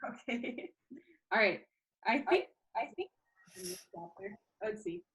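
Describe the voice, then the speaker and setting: A woman reading a storybook aloud as a teacher, with expressive narration and an informal manner. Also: medium pitch, takes it slowly, rough recording. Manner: informal, chatty asides.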